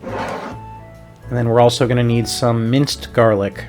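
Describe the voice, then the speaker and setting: A man's voice: mid-range voice, flat delivery, takes it slowly, a little mellow, soft background music. Chatty young man hosting a cooking vlog. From Puerto Rico. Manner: upbeat, chatty, step-by-step instructions. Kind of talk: casual recipe walkthrough.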